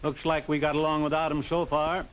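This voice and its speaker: An actor playing a stubborn, proud rural old-timer in a 1950s film drama, folksy and plain-spoken, on an old soundtrack. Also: male voice, a little high, little pitch movement, a little fast, fairly bright tone, natural voice, poor audio.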